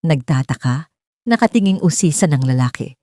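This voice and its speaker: A woman's voice: deep voice, lively intonation, normal speed, mellow, dry recording, clean studio sound. A woman reading a scripted romance story as its narrator.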